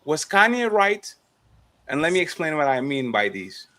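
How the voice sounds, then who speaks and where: A man with a fairly high voice runs a loose panel chat. He trips over his words, repeats himself and circles back to press his question. He sounds unscripted and eager to get to his point. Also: very animated, moderate pace, pushed and bright. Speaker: panel host. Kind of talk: casual panel discussion.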